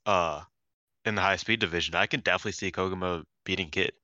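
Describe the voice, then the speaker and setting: A man's voice: low-pitched, quick pace, no echo, dull audio. A man with a congested, nasal voice from being sick, chatting casually and animatedly as a podcast co-host. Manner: animated, jokey, swears casually. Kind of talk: casual chat.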